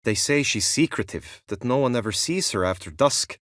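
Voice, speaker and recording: An actor playing an earnest, lovesick, chivalrous knight in a video game, with formal, archaic courtly phrasing and an anxious streak. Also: male voice, mid-range voice, expressive, moderate pace, fairly bright tone, no echo, clean studio sound, natural voice. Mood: troubled, confiding. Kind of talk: video game character acting.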